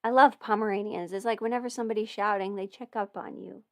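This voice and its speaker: A woman's voice: mid-range voice, fairly even intonation, quick pace, gravelly, no room sound, clean studio sound. A young woman in casual livestream chat with viewers, rambling, laughing often and swearing now and then. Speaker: audio performer answering viewer questions.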